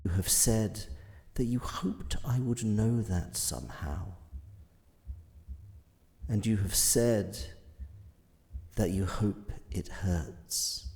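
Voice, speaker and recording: Man playing a very slow, gravelly ancient godlike being. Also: lowish voice, darkish tone, exaggerated voice. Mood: wounded, bitter. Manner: solemn, halting, deliberate phrasing with long pauses. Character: ancient godlike being of paradox, weary, wounded, enigmatic. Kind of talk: audio drama acting.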